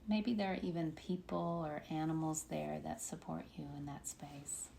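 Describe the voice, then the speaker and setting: A woman leading a guided meditation in a soothing, unhurried manner with long pauses. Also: low-pitched, gravelly, mellow. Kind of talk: guided meditation, body scan. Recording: faint background noise.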